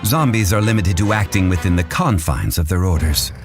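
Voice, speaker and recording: Deep-voiced, learned gentleman. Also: expressive. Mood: polite. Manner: measured, formal, erudite. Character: refined, learned gentleman consultant, patient and composed. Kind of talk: video game voice acting. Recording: game music behind. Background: game music.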